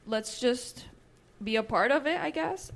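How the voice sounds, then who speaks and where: A young woman from Nicaragua whose first language is Spanish, co-hosting a therapists' podcast in a relaxed chatty way and leaning on "you know". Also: on the high side, a little slow, creaky. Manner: conversational, fills with "you know" and "right". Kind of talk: casual chat.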